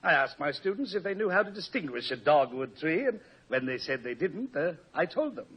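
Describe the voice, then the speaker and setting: A man's voice: a little high, big pitch swings, brisk, breathy edge, heavily acted. An actor playing a vain, self-satisfied college professor in a 1950s radio comedy, pompous, hemming and fussy in his talk. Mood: flustered, self-pleased. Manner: pompous, hemming, chuckles at himself. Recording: muffled old radio recording.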